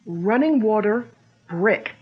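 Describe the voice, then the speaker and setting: A woman's voice: medium pitch, lively intonation, takes it slowly, slightly rough. A woman playing an eager young female assistant. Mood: curious. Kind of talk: 1940s radio drama acting. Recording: dull lo-fi old radio recording.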